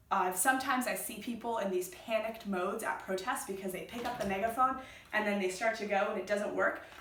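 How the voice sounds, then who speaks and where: A woman's voice: medium pitch, a bit bright. A woman giving an informal, step-by-step how-to demonstration in a plain-spoken, instructive way. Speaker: instructor, activist. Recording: roomy echo, distant mic, background noise.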